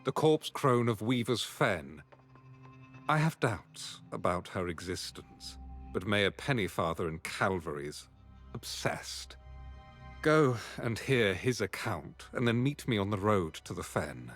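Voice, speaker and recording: An actor playing a dutiful, aging knight in a fantasy game, speaking formally and earnestly. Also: male voice, on the low side, big pitch swings, measured pace, fairly bright tone, soft background music, full character voice. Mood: resolute.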